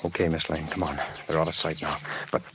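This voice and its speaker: An actor in a 1940s radio drama playing a wary young war veteran, hushed and urgent. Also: male voice, very deep voice, level tone, fast talker. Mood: guarded, tense. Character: wary young war veteran guiding a woman through danger. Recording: noisy old radio drama recording.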